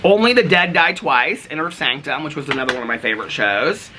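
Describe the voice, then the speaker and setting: An American man chatting casually to camera as a vlogger, in a gushing, easily excited manner. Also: on the high side, fairly quick. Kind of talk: casual show-and-tell chat to camera. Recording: clearly noisy home recording with clicks.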